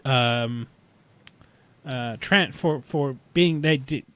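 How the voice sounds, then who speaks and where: Halting man with a close, dry sound. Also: mid-range voice, a bit bright, dry recording. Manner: halting, thinking aloud. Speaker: podcast panelist. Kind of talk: casual chat reviewing sci-fi television.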